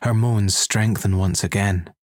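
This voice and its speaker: A man narrating an erotic audiobook in an intimate, husky voice. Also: on the low side, steady tone, a little slow, no echo, studio quality. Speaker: story reader.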